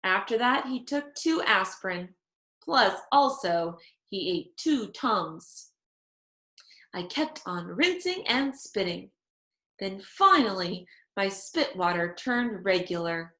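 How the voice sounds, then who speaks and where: A woman reading a children's story aloud in expressive narration, phrasing it emphatically for young listeners. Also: mid-range voice, slow pace, roomy sound.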